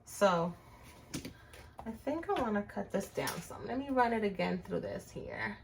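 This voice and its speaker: Middle-aged Puerto Rican woman hosting a home crafts tutorial; friendly, chatty delivery at an average pitch, with a little noise behind her. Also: big pitch swings, unhurried, creaky. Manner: chatty, narrates her hands-on work to viewers. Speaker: crafts channel host.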